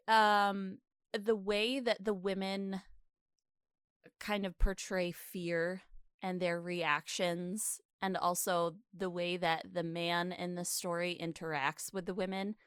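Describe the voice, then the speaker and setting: A woman's voice: fairly low pitch, fairly bright tone, smooth, dry recording, studio quality. A woman co-hosting a podcast in casual, effusive and emphatic conversation. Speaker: podcast co-host.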